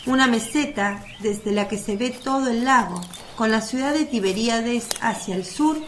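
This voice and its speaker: A woman narrates a radio play in a fairly high voice, reading scripted, descriptive story passages. Birdsong and a faint natural ambience sit behind her. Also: a bit bright, a little hiss or hum.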